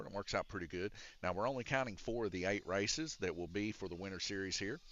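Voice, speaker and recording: Deep raspy man calling RC races. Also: a bit bright, lo-fi sound. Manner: rambling and explanatory, with many "uh" fillers. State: congested from a chest cold. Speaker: race announcer and organizer. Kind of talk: casual live-stream commentary. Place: indoor RC raceway shop. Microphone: wired or wireless handheld mic.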